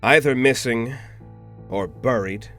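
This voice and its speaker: An actor playing an obedient, coldly formal henchman reporting to a superior in an audio drama, in a measured manner. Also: mid-range voice, a bit bright, soft background music. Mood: coldly dutiful. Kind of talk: anime-style audio roleplay drama.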